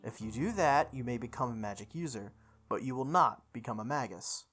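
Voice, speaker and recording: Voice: mid-range voice, expressive, brisk, a bit bright, natural voice. A player acting out a grave male magus mentor, solemn warnings, weighty delivery, visual novel game, music behind. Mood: solemn, instructive.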